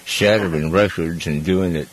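A man hosting a call-in radio show. His voice sits very low. He chats with callers in a relaxed, rambling way and often trails off or restarts his sentences. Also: normal speed, low-quality recording. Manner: easygoing, rambling. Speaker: radio host.

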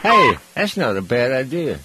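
A man's voice: mid-range voice, sing-song, normal speed, heavy background noise. A man hosting a call-in radio show, chatting in an easygoing, rambling way. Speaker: radio host.

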